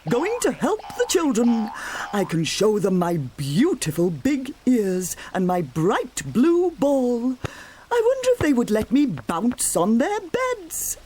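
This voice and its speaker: A woman voicing an excitable young male rabbit in a storybook, giddy and squealing, with music behind. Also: on the high side, takes it slowly, a little hiss or hum, voice pushed up, exaggerated voice. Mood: overjoyed.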